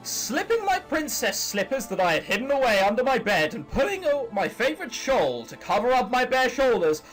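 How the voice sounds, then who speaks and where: Man reading as a flustered young troll girl, animated. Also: extremely high pitch, normal speed, soft background music, heavily acted, poor audio. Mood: weary, wistful. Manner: earnest, dramatic, fretful. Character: young female troll narrator, flustered, embarrassed, defensive storybook heroine. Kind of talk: visual novel narration read aloud.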